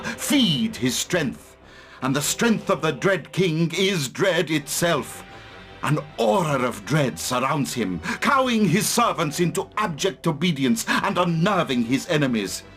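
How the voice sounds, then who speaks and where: A pompous occult wizard in a fantasy game. His voice sits fairly high and moves theatrically up and down. He talks at length with scholarly self-importance, over faint music. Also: sing-song, unhurried, fairly bright tone. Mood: grave, dramatic. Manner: pompous, long-winded, theatrical. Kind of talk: fantasy video game cutscene acting.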